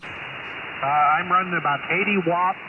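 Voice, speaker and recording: A man's voice: sits high, steady tone, measured pace, phone-quality sound. A man working as an amateur radio operator, speaking in short procedural exchanges over a radio transmission. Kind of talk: clipped radio call sign exchange. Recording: thin radio link, heavy static noise.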